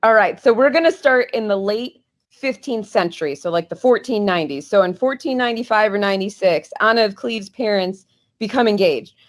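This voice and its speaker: A woman's voice: mid-range voice, a little fast. A woman talking as a guest historian on a podcast, earnest and explanatory, with small restarts. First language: English.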